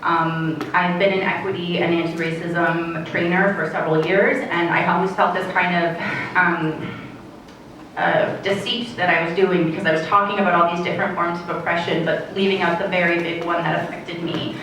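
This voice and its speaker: Canadian woman panelist, low voice, measured and earnest, recorded far off in an echoey hall. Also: little pitch movement, a bit bright, slight background noise. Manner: earnest, measured, frequent fillers. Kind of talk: conference panel talk. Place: conference hall.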